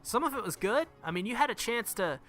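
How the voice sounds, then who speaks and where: A man's voice: extremely high pitch, animated, brisk, a bit bright. A man who makes gaming videos, reading visual novel text aloud and commenting casually on the game. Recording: music behind the voice. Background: game music.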